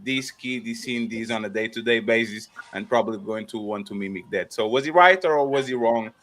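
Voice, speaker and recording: A man's voice: fairly low pitch, a little fast, fairly bright tone. A man hosting a casual panel discussion, stumbling and repeating words as he presses the others with questions. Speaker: panel host.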